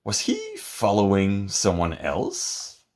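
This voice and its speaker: A man reading a picture book aloud in a theatrical, expressive and deliberate way, as scripted narration. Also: fairly low pitch. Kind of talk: dramatic scripted storybook narration.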